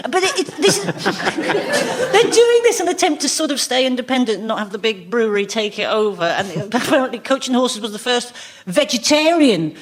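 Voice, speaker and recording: A woman's voice: on the high side, animated, moderate pace. A British woman on a comedy panel, trading banter and telling anecdotes in a mock-horrified, exclamatory way. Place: radio comedy recording before an audience. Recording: noisy live audience recording.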